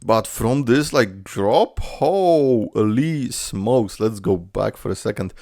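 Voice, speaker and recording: A man's voice: on the low side, expressive, slow pace, a little mellow, dry recording, clean studio sound. A man hosting a reaction video, giving casual commentary and thinking aloud in an informal way.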